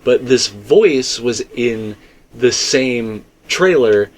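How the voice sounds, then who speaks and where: A man's voice: medium pitch, animated, drawn-out words, slight background noise. A man in a casual, rambling fan discussion, repeating himself for emphasis as he co-hosts. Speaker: co-host discussing video game theories.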